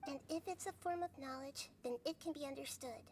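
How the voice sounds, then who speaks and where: A woman playing a gentle, wise, childlike young goddess in a video game, speaking in a measured, earnest, thoughtful way. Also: sits high, a little hiss or hum, close to an everyday voice. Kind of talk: scripted video game voice acting.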